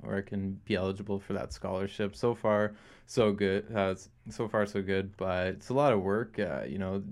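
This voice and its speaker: A man's voice: sits low, fairly even intonation, normal speed, darkish tone. A young man from Canada co-hosting a student radio show, talking loosely with lots of fillers. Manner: loose and chatty, many "you know" fillers. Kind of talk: casual radio chat.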